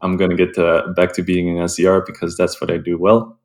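A young man from Belgium, a sales rep, calmly answering interview questions with frequent ums and uhs. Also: sits low, fairly quick. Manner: calm, hesitant with frequent ums. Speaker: guest, sales development rep.

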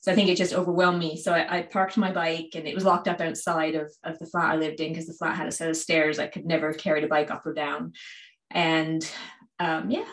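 A woman's voice: low-pitched, little pitch movement, brisk, fairly bright tone. A woman from Canada with a Canadian accent, a podcast guest telling stories in a casual, chatty, self-deprecating way, in an echoey room. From Halifax, Canada. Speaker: podcast guest, cycling shop owner. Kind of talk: casual conversational storytelling.

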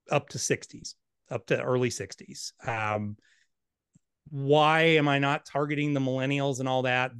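A man's voice: medium pitch, fairly bright tone, no echo. Blunt man in his fifties. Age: 50s. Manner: blunt, candid, self-deprecating. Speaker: author and financial planner. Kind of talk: podcast interview answers.

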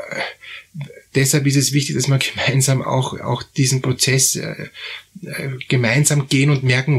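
A man hosting an advice podcast, talking in a halting way with many fillers and repeated words. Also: medium pitch, vocal fry, husky. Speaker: therapist host. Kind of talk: advisory podcast talk.

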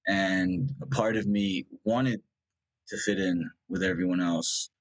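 A young man from India, Punjabi his first language, talks as a guest in a rambling, searching way, leaning on "like" and starting over often. His voice sits a little low. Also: steady tone, takes it slowly, low-quality recording. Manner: rambling, full of "like" and restarts. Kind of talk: reflective personal conversation.

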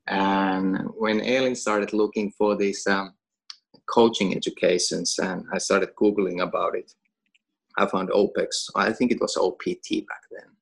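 A man's voice: low voice, fairly even intonation, slow, creaky, long pauses, husky. A Finnish man who is a gym owner and coach, chatting casually in English with a Finnish accent and laughing between phrases. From Finland. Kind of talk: casual podcast conversation.

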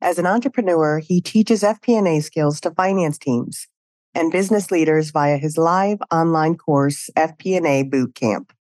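A woman hosting a business podcast, reading a measured guest introduction and asking interview questions. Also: low-pitched, darkish tone, no echo. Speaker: podcast host.

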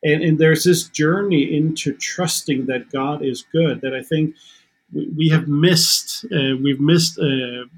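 Earnest male guest, Danish native speaker, husky, slightly high, halting delivery. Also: rough recording. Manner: earnest, halting with repeated restarts. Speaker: guest counselor. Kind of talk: reflective faith conversation.

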